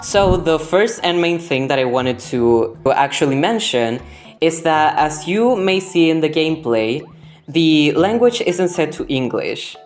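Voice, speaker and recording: A woman's voice: deep voice, expressive, a little slow, darkish tone. A young woman, a native Spanish speaker with a Spanish accent, narrating gameplay in a scripted voice-over as the host. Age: young adult. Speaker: gaming video host. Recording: background music under the voice. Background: music.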